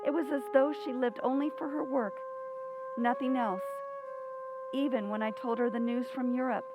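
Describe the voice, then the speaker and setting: An actress playing a tender, middle-aged mother who tells her family's story in a gentle, measured way, in old-time radio drama style. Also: high voice, fairly even intonation, phone-quality sound, over loud music, lightly acted. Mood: sorrowful, reflective.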